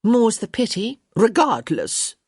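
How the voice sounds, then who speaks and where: An elderly countess, as acted by a female narrator, crotchety and imperious. Her pitch rises and swoops through sharp-tongued, emphatic pronouncements, with a husky edge. It is a storybook grande dame, recorded dry and close. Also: big pitch swings, slow, no echo, exaggerated voice. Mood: indignant, demanding.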